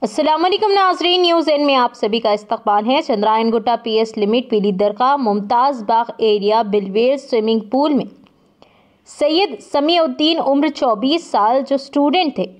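High-voiced woman news anchor. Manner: formal, measured bulletin delivery. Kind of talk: scripted news reading.

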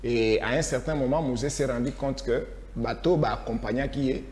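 A man's voice: mid-range voice, moderate pace, a little mellow, a little hiss or hum. A Congolese man hosting a talk show, telling history in a casual, repetitive storytelling way and mixing French with Lingala. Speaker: host.

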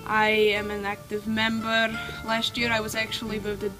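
A teenage girl acting a calmly sinister female mafia boss in a mock documentary interview, matter-of-fact and full of hesitant ums, recorded outdoors on a snowy deck with music behind. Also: fairly high pitch, moderate pace, close to an everyday voice, poor audio. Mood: deadpan. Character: deadpan female mafia killer, cheerfully sinister.

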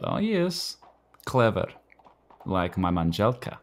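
An actor playing a fiery, wounded Slovak immigrant night watchman in a radio play, with a Slovak accent and halting, broken phrases. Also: on the low side, very animated, slight background noise, heavily acted. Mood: tender.

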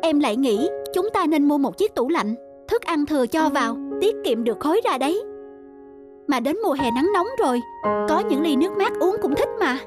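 A woman's voice: sits high, lively intonation, moderate pace, over music. A woman voicing a thrifty, long-suffering young wife in a cartoon, reasoning persistently. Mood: hopeful. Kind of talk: animated cartoon voice acting.

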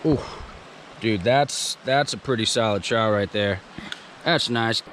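A young man vlogging as he fishes outdoors by a creek, chatting in a relaxed, eager way into an action camera he wears. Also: lowish voice, a little slow, a little hiss or hum. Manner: relaxed, chatty, enthusiastic about fish. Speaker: fishing vlogger. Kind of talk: casual outdoor commentary. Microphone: action camera on the body.

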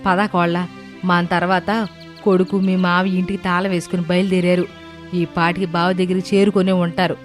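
A woman playing a calm, persuasive older village mother-in-law in an animated moral story. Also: on the low side, normal speed, faint music behind, natural voice. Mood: coaxing.

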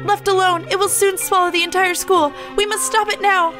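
A woman voicing a melodramatic, high-strung anime bird who fancies himself a demon fighter, declaiming grandly and urgently. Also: flat delivery, moderate pace, over music. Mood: grave, urgent.

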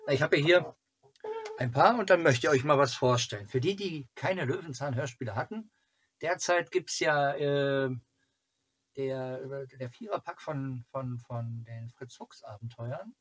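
A man's voice: medium pitch, a little slow, fairly bright tone, husky. A man in his forties chatting casually to viewers as a channel host, rambling and thinking aloud. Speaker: host of a children's TV fan club channel. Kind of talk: casual vlog chat to viewers. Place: small cluttered room at home, indoors. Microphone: camera or webcam at arm's length.

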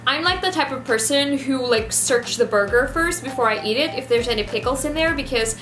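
A 27-year-old woman from the Philippines with a Filipino accent and Ilonggo as her first language, chatting casually to camera in an animated way as she lists facts. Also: sits high, a little fast. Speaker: vlogger. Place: small decorated room indoors. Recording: roomy echo, music behind.

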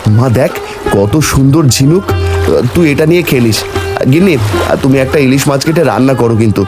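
A man's voice: medium pitch, expressive, normal speed, lightly acted. A man playing a poor, caring village fisherman and father in a cartoon, speaking earnestly. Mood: eager, caring. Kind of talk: cartoon story dubbing. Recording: loud music behind the voice. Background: music.

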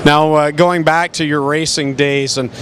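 A man's voice: on the high side, steady tone, unhurried, background noise. Male interviewer at an expo hall. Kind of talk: casual interview. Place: busy indoor expo hall. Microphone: handheld mic.

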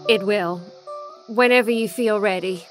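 A woman playing a calm, resolute, sympathetic layer of ghosts in a supernatural radio drama. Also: mid-range voice, measured pace, faint music behind, close to an everyday voice, poor audio.

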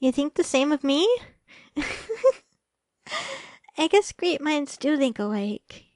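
Woman acting a high-voiced, sweet young girlfriend. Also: animated, takes it slowly, dry recording. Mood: affectionate, playful. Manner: soft, hesitant, affectionate, stammering when flustered. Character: sweet, shy, flustered young girlfriend on a date. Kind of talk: ASMR romantic audio roleplay.

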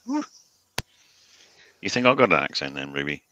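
Male co-host, Devon accent, deep gravelly voice. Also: huge pitch swings, takes it slowly, pushed and bright, highs cut off, a little hiss or hum. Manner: playful, reads messages aloud. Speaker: co-host reading out chat.

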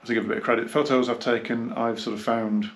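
A man gives a casual, unscripted hi-fi review, rambling and halting as he reminisces. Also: mid-range voice, little pitch movement, vocal fry. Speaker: hi-fi dealer and reviewer. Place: small carpeted indoor room, seated.

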